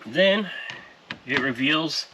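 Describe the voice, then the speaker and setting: A man's voice: a little high, expressive, very slow, sharp tone, slight background noise, poor audio. A man presenting a casual car audio installation tutorial, explaining each step in a conversational way in a workshop. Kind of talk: casual how-to vlog narration. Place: car repair shop.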